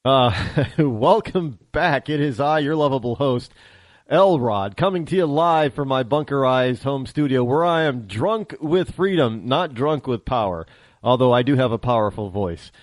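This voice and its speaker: A man's voice: mid-range voice, animated, a little slow, dry recording. A man hosting a political talk radio show from his home studio, wry and teasing, often stammering and restarting his sentences.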